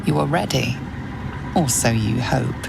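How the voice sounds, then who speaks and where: Extremely deep, gravelly ominous narrator. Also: female voice, measured pace, full character voice. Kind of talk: dramatic video game narration. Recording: noisy ambience behind the voice.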